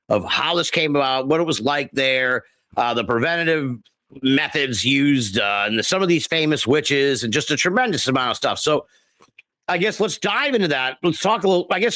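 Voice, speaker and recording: American male interviewer, bright forceful tone, effusive and rambling, close dry sound. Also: mid-range voice, no echo. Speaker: podcast host, interviewer. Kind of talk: casual interview chat.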